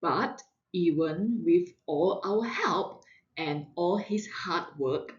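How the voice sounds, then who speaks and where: A woman reading a children's storybook aloud with expressive, deliberate delivery and dramatic emphasis. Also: on the low side, highs cut off. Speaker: parent reading a picture book aloud. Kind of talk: animated children's storybook narration.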